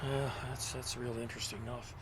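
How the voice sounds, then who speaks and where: An actor playing a cranky, defensive elderly man with failing memory in an audio drama, gruff, muttering and curt. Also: medium pitch, little pitch movement, a little fast, very noisy, natural voice. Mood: wary, dismissive. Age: older.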